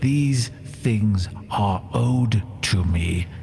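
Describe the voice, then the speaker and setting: A man's voice: lowish voice, expressive, very slow, creaky, dark tone, in a large hall, a little hiss or hum, voice pushed down, exaggerated voice. Man acting a stern, entitled fallen paladin. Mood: proud, resentful. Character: bitter, proud fallen paladin, male, demanding lost respect.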